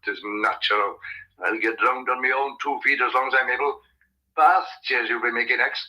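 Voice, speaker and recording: Grumbling old countryman, sharp-voiced. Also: medium pitch, fairly quick, rough recording. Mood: grumbling, sceptical. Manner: grumpy, rustic dialect grammar. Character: grumbling, stubborn old countryman called old Pharaoh. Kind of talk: radio drama acting.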